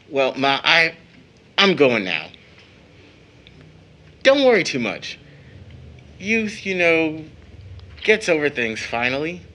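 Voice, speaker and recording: A man playing a young brother and son in an audio drama, speaking in a measured, earnest, slightly wry way. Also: fairly high pitch, very animated, sharp tone, heavily acted. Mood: somber, concerned. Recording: noticeable background noise.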